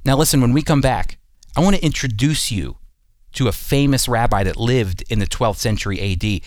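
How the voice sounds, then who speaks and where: A man's voice: medium pitch, brisk, dry recording, studio quality. A man hosting a radio Bible program, teaching in an earnest, emphatic, sermon-like way and addressing listeners directly. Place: studio.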